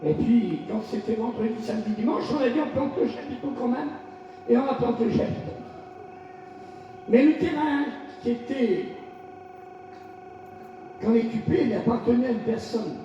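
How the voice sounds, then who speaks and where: A male pastor from France delivering a fiery revival sermon, shouting in bursts and calling for responses from the congregation. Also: very high voice, normal speed, pauses often, a little hiss or hum. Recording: echoey hall, distant lo-fi mic.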